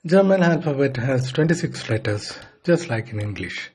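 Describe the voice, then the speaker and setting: A man giving an instructional language lesson as a teacher, deliberately sounding things out piece by piece. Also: medium pitch, animated, darkish tone, breathy edge. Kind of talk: slow instructional lesson with repetition.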